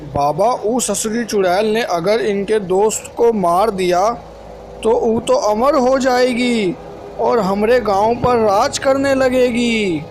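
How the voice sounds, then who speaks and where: Male actor as earnest cartoon village youth; high voice, slow delivery, faint background noise. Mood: earnest, worried. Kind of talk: cartoon story dialogue.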